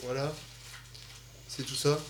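A man's voice: medium pitch, level tone, slow, slight background noise. A man from Occitanie, a party organizer and DJ, talking casually and hesitantly as a radio guest. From Occitanie, France. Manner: hesitant, lots of fillers, easygoing. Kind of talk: casual interview talk.

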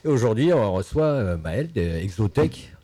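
A man hosting a casual radio interview show, relaxed and chatty. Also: fairly low pitch, normal speed, husky, dry recording. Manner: relaxed, chatty, drops English yeses. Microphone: studio mic.

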